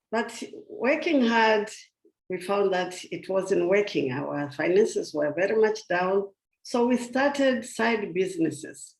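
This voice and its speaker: Slow, gravelly older woman on an echoey call. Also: mid-range voice, darkish tone. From Tanzania. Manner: slow, earnest storytelling. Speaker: guest speaker. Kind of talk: personal testimony talk. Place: remote video call. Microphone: video call.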